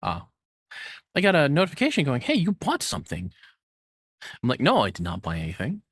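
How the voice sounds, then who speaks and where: A man's voice: mid-range voice, huge pitch swings, brisk, fairly bright tone, husky, no room sound, studio quality. A man livestreaming in a casual, rambling, self-deprecating way, sounding a little nervous as he chats and reacts. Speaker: streamer. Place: hot home room.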